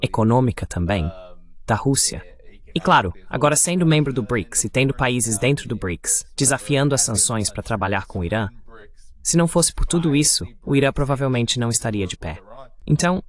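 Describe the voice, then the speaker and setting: Guy hosting a politics show, medium pitch, explains stuff in a measured way, dubbed voice. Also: animated. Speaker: interview host. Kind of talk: political commentary, dubbed voice-over.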